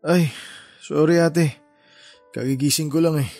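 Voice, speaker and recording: A man's voice: a little high, fairly even intonation, unhurried, darkish tone, faint music behind. An actor playing a groggy, defensive younger brother around thirty, exasperated with his sister in a radio drama. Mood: groggy, sheepish.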